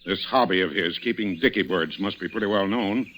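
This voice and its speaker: An actor playing a shrewd, brisk, sardonic police inspector in an old-time radio suspense drama. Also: male voice, low voice, a bit bright, poor audio. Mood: hard-nosed. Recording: vintage radio broadcast recording.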